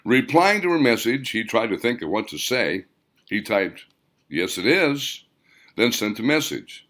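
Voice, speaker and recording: A man reading a scripted audiobook story as its author, with deliberate, dramatic phrasing. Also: mid-range voice, animated, fairly bright tone. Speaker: author reading own novel.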